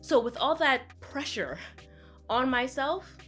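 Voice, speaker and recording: Woman in her twenties, vlog commentary, fairly high voice, emphatic, faint music underneath. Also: animated, takes it slowly, fairly bright tone. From Brooklyn, New York, with Trinidadian roots. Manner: conversational, emphatic, acts out voices of others. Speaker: vlogger. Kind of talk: candid personal commentary to camera.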